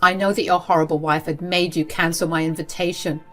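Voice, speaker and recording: A woman's voice: on the low side, steady tone, a little fast, a bit bright, soft background music, natural voice. A woman voicing a haughty, entitled middle-aged mother-in-law in a melodramatic, accusatory way, as in a told story. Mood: wounded, pleading.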